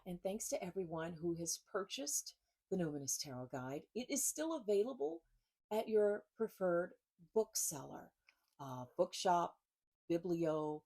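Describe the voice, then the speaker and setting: A woman author speaking informally and reading expressively from her book, with deliberate, emphatic phrasing and pauses. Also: on the low side, creaky, warm tone, slightly rough.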